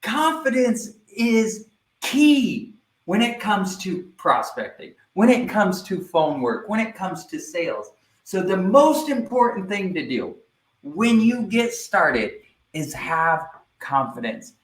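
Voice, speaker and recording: A man giving a live online sales training, energetic and speaking directly to viewers, often checking in with "right?". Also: high voice, slow pace, roomy sound, poor audio.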